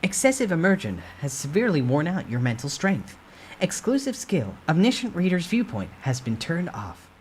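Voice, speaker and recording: Casting: game system notifier, acted by a man, higher voice, formal and impersonal, faint noise behind. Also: sing-song, moderate pace, few pauses, a little mellow. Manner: formal announcing.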